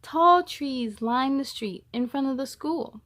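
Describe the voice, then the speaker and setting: A woman reading a children's picture book aloud in an expressive, measured storytelling style. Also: sits high.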